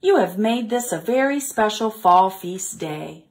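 A woman voicing a gentle, graceful female deer in a storybook read-aloud. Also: medium pitch, expressive, a little slow. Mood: grateful.

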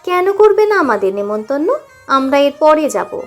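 A woman's voice: sits high, sing-song, normal speed. A woman voicing a poor, hopeful, easily hurt little village boy in an audiobook story, with music underneath. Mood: angry, hurt. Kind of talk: audiobook character voice.

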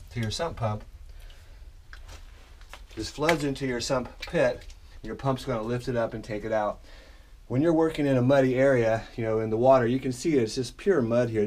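A man's voice: medium pitch, darkish tone. A middle-aged man, a drainage contractor, walking through a basement sump pump job as he works, in a plain, practical way. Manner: plain, practical explaining while working. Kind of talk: on-site how-to walkthrough. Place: dug-out basement crawl space. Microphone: head-mounted action camera.

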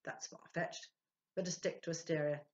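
A woman's voice: low voice, steady tone, normal speed, lightly acted. A woman in her sixties voicing an earnest, self-important male spirit medium in a storybook reading, emphatic and self-justifying. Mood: candid, defensive. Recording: dull lo-fi home recording.

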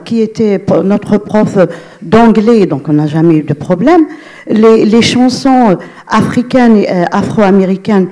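A woman from Algeria asking a question from the audience, halting, with many restarts and fillers. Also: mid-range voice, warm tone. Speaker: audience member.

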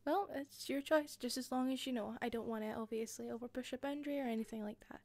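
A woman with a softened Scottish accent playing an attentive hostess in a roleplay, speaking softly into a close mic with frequent giggles and whispers. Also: on the high side, fast. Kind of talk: listener-directed roleplay.

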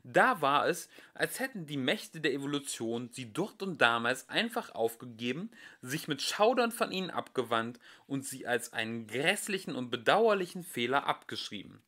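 Lively young man reading a comic novel. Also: mid-range voice, moderate pace, hardly any pauses. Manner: expressive, dry comic storytelling. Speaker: audiobook reader. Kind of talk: amateur audiobook narration. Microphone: home recording.

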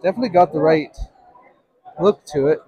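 Man, middle-aged, slightly high husky voice, casual shopping chat, vlogger. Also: brisk, mellow, highs cut off. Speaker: vlogger shopping at a swap meet. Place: large busy indoor swap meet hall.

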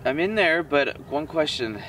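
A man at a woodland camp, answering in short deadpan questions. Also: fairly high pitch, a little slow. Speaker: survival challenge partner. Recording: distant from the mic with outdoor noise.

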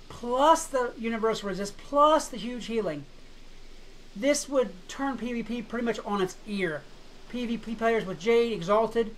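A woman talking casually as a gaming commentator, a bit hoarse, chatty and skeptical, laughing now and then. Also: fairly high pitch, slight background noise, poor audio. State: slightly hoarse, frog in throat. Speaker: gaming YouTuber.

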